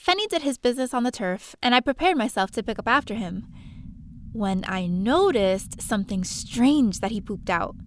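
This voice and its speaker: A woman's voice: a little high, lively intonation, normal speed, faint music behind, close to an everyday voice. A woman playing a young space adventurer, telling her own story expressively in an audio drama. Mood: wry. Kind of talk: first-person audio drama narration.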